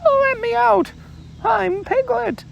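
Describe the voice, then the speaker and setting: A man voicing a small, timid male piglet who protests in a high, squeaky, spluttering voice. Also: slow, vocal fry, muffled recording, heavily acted. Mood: panicked.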